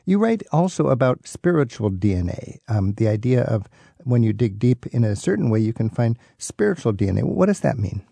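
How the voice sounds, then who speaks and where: Man, radio host, casual conversational interviewing, mellow tone, creaky gravelly voice. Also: mid-range voice, very animated, brisk, no echo. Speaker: radio travel show host.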